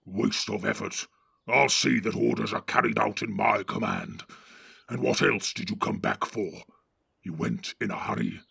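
Man playing a gravelly, barking orc warlord. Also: on the low side, a little slow, sharp tone, dry recording, clean studio sound, pitched below the performer's own voice, exaggerated voice. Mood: contemptuous commanding. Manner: barking, contemptuous orders. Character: brutal, boastful male orc warlord, domineering. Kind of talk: audiobook reading.

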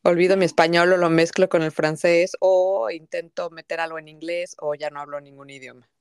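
Host: woman in her 40s, lower voice, smooth, hesitant chatty delivery. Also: steady tone, brisk, darkish tone, no echo, studio quality. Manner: hesitant, with many fillers and laughs. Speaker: podcast host. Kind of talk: casual podcast conversation.

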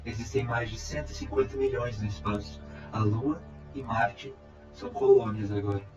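A man playing a calm, courteous robot time traveler in an audio drama, speaking in formal, measured reports. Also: mid-range voice, natural voice. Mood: calm, factual. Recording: echoey, dull amateur sound, music behind.

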